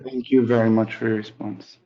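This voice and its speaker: A man with a Trinidadian accent speaks at middling-to-low everyday pitch as an audience member at a webinar. He is courteous and fairly formal. His audio is a little dull, as on an internet call. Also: level tone, fairly quick. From Trinidad and Tobago. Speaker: audience member asking questions. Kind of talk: spoken question at a webinar. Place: online call.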